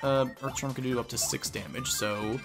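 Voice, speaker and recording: A man's voice: mid-range voice, fairly even intonation, a little fast, over music. A young man casually commenting as he plays a game, rambling and self-mocking. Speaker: let's play host.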